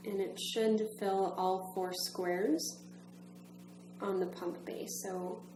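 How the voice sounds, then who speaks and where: A woman's voice: mid-range voice, fairly even intonation, takes it slowly. A woman narrating an instructional demonstration in a methodical, step-by-step manner. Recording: roomy echo, faint background hum.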